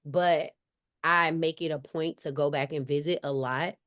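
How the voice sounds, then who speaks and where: A woman's voice: low voice, fairly even intonation, a little slow, a bit bright. A woman raised in Cleveland with a light Southern accent, chatting casually on a podcast and leaning on "like" a lot. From Cleveland, Ohio. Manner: chatty, many "like" fillers and restarts. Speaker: podcast guest. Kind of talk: casual conversation.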